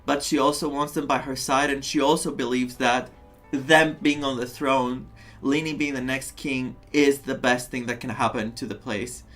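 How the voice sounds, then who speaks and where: A man's voice: mid-range voice, fairly even intonation, normal speed, few pauses, a bit bright, soft background music. A man giving casual live commentary on a video game, empathetic and reflective, repeating himself for emphasis. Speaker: game streamer.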